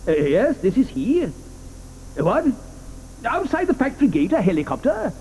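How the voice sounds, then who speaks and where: An excitable, eccentric chocolate maker, in a very high, lively voice that leaps around as he exclaims. Warm and mellow in tone, with a faint hum under the old audio drama recording. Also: normal speed, exaggerated voice, low-quality recording. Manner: excitable, theatrical, whimsical exclamations. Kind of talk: 1980s radio drama acting.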